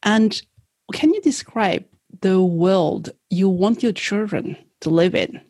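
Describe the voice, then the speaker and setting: A woman's voice: medium pitch, takes it slowly, dark tone, husky, no room sound. A woman hosting an interview, reading a scripted introduction, then asking questions as an attentive, encouraging listener. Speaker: podcast host.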